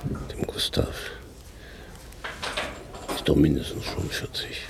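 An actor playing an old, worried and gentle farmhand father in a radio drama, muttering wearily and protectively. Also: takes it slowly, whispered, close to an everyday voice. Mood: hushed, confiding. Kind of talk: radio play acting.

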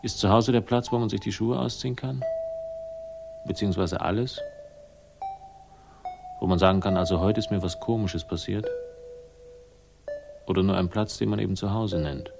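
A man's voice: lowish voice, moderate pace, creaky, long pauses, over music. An actor in a 1960s German radio drama playing a bitter, brooding man with a sardonic, ruminating manner. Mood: bitter musing.

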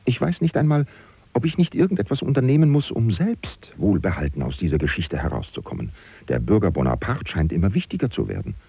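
Gravelly, fretting political hanger-on. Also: male voice, deep voice, very animated, fairly quick, warm tone, no room sound, heavily acted. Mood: worried musing. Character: anxious male political hanger-on, fretting, self-preserving. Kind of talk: German radio play monologue. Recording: dry, dull lo-fi recording.

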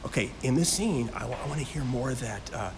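A man's voice: medium pitch, expressive, fast. Man coaching casually over noisy background. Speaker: interviewee giving voice-directing advice. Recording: noisy room ambience.

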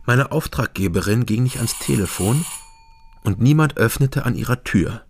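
An actor playing a wry East Berlin private detective in a German radio crime drama. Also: male voice, medium pitch, animated, normal speed, noisy background, lightly acted. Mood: matter-of-fact, tense.

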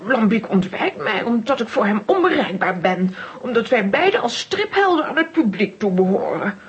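A woman's voice: a little high, sing-song, moderate pace, husky, muffled recording, exaggerated voice. A woman playing a prim, melodramatic aunt in a children's audio drama, theatrical and dignified. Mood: wounded dignity. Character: Tante Sidonia, prim melodramatic grown-up aunt.

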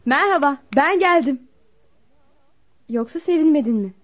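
A carefree, impatient young girlfriend in a radio play. The pitch is high and very animated, full of coaxing and pouting. The sound is dull and lo-fi. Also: a little slow. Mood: playful. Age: young adult. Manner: coaxing, petulant, dismissive. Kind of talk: Turkish radio theatre acting. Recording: dull lo-fi radio drama recording.

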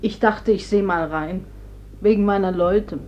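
A woman's voice: mid-range voice, unhurried, darkish tone, lightly acted. An actress playing a sorrowful, kindly old village woman in a radio drama, confiding hesitantly. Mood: sorrowful, gentle. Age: older. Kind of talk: classic German radio drama acting. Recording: dull, faintly noisy old radio recording.

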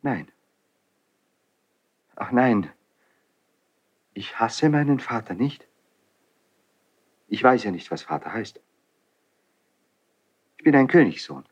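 A passionate, tormented young crown prince in a classical radio drama. His mid-pitched voice declaims verse with raw emotion, moving between hushed brooding and outbursts. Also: big pitch swings, takes it slowly, long pauses, breathy edge, muffled recording, heavily acted. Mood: bitter grief.

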